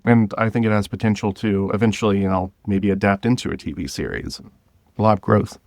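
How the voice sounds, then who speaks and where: A man speaking as a podcast guest, explaining things informally and pausing to gather his thoughts. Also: fairly low pitch, darkish tone, dry recording. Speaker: podcast guest, drama creator. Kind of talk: conversational interview.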